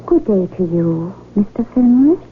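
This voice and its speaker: A woman playing a haughty, regal royal highness in a 1970s radio drama, speaking in an imperious, formal, measured way. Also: big pitch swings, natural voice. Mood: haughty, commanding. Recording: tinny, noisy old radio broadcast recording.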